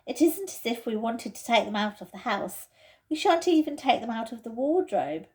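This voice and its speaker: A woman's voice: a little high, lively intonation, normal speed, a little mellow, pitched above the performer's own voice, natural voice. A woman voicing a sensible schoolgirl, an older sister, with emphatic delivery in a storybook reading. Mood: practical, complaining.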